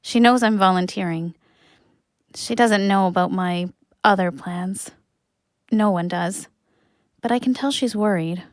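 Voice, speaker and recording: A woman's voice: mid-range voice, measured pace, pauses often, no room sound, studio quality, lightly acted. A woman playing an earnest, wistful young adult in an audio drama. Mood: confiding. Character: young Canadian, wistful, nature-loving, awkward and earnest. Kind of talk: audio drama narration and acting.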